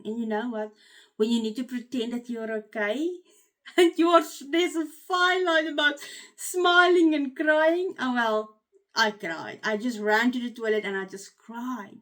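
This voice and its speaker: A woman with an Afrikaans accent, a life coach giving an earnest, candid motivational talk to her channel's viewers. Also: a little high. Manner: earnest and candid, with frequent "um" fillers and restarts. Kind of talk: personal motivational talk to camera.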